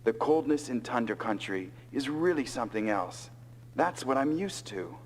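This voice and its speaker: A man's voice: mid-range voice, lively intonation, normal speed, a little hiss or hum, natural voice, low-quality recording. Man acting big easygoing soldier, lilting delivery. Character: big easygoing male soldier pilot from cold country. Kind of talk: anime-style English video game dub.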